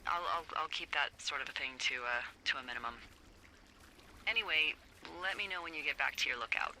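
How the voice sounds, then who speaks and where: Bright-voiced, wry woman lookout boss. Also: deep voice, brisk, a little hiss or hum, heavily acted, low-quality recording. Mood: awkward, apologetic. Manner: playful, sarcastic, hesitant when earnest. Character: wry, teasing adult woman, fire lookout supervisor. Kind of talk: naturalistic video game dialogue.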